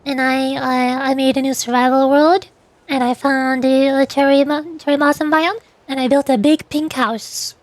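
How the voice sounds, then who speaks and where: A woman's voice: high voice, flat delivery, moderate pace, no room sound. A woman livestreamer chatting casually, a little hesitant and correcting herself as she goes. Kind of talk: casual livestream chat, reading out chat messages.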